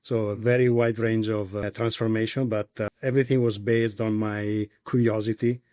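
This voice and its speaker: A man from Italy with an Italian accent, answering interview questions as a company executive in a halting way with many pauses and restarts. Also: on the low side, flat delivery, mellow, no echo, clean studio sound.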